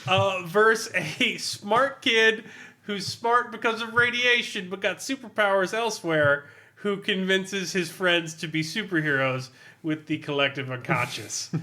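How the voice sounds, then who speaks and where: A man with a high-pitched, bright and forceful voice talks through a game book like he's entertaining friends. He is animated and sardonic, swears freely, and delivers it all with obvious amusement. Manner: animated, amused, often laughing while talking; profane, sardonic. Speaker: podcast reviewer of role-playing books. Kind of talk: casual comic review among friends.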